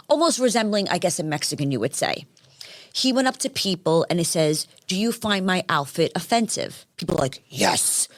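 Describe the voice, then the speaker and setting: A woman from Staten Island with a New York accent, talking as a guest in a casual interview in a brash, animated way with many restarts. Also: fairly low pitch, dry recording, studio quality. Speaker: guest, former reality show contestant.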